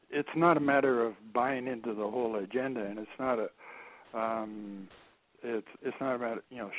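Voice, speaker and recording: A soft-spoken man speaking as a guest, halting, with many repeated words and restarts. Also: medium pitch. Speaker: guest expert. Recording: phone-line audio.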